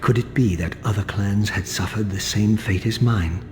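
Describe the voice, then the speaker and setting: An actor playing a vengeful undead male vampire wraith, speaking in a grim, sardonic, measured way for a video game. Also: fairly low pitch, a little mellow, faint music behind, natural voice. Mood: brooding. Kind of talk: video game voice acting and narration.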